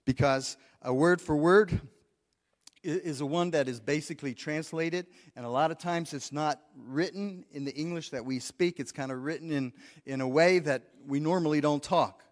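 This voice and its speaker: Male pastor, slightly high voice, earnest teaching tone, stumbles and repeats words, long hall reverb. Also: moderate pace. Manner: earnest, repeats words while thinking, asks the congregation questions. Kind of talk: practical sermon teaching. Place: church sanctuary.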